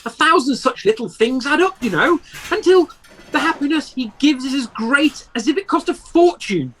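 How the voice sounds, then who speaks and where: A man's voice: very high-pitched, animated, normal speed, fairly bright tone, poor audio. A man playing a remorseful old miser, hesitant and pleading, in an amateur radio play. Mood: hesitant, regretful. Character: Ebenezer Scrooge, remorseful, anguished old miser.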